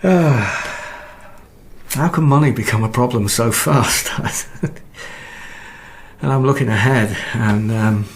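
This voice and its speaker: An actor playing a harried, anxious husband in a naturalistic radio drama, halting and apologetic. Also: male voice, mid-range voice, slight background noise. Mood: uneasy, evasive. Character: harried recently married husband, anxious and unravelling.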